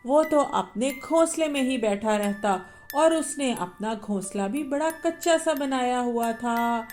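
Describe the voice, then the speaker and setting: A woman narrating a bedtime story for children in a slow, gentle way. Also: fairly high pitch, lively intonation, faint music behind.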